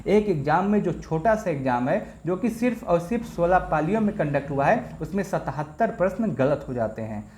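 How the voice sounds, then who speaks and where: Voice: medium pitch, expressive, fairly quick, few pauses, a little mellow. A presenter explains things informally, addressing listeners directly and repeating points. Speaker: exam news presenter. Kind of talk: informal explainer on exam results. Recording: echoey room.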